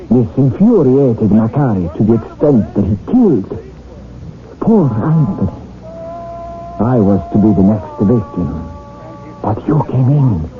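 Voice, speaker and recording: A man acting in a 1970s radio drama as a guilt-ridden older professor, theatrical and halting in his delivery. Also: lowish voice. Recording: tinny, band-limited 1970s radio recording.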